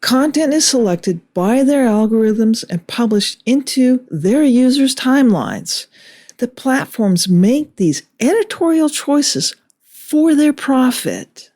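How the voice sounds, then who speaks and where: A woman delivering scripted political commentary in a measured, deliberate way with emphatic phrasing. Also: sits high, sing-song, mellow. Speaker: video commentator.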